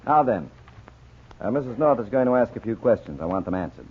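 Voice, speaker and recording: A businesslike American police lieutenant in a vintage radio mystery. He talks fast with lively pitch movement and clipped authority. The sound is narrow and tinny, like an old broadcast. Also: noisy background. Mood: firm. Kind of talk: 1940s radio drama acting. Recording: tinny 1940s radio recording.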